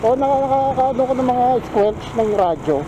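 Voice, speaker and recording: A man's voice: extremely high pitch, expressive, fairly quick. A man riding a motorcycle outdoors, chatting casually in short, slangy, repeated phrases with a riding buddy. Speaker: motorcycle rider and vlogger. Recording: loud wind and engine noise.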